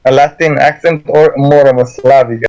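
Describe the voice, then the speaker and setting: A man with a Romanian accent, a native Romanian speaker, chatting as a guest artist, halting and stuttering with frequent restarts. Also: medium pitch, fairly even intonation, darkish tone, low-quality recording. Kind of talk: casual conversation.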